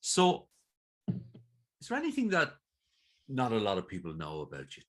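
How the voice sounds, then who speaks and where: A man's voice: fairly low pitch, huge pitch swings, normal speed. A man with an Irish accent hosting an interview, asking long, rambling questions in a chatty way. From Ireland. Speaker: interview host. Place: video call.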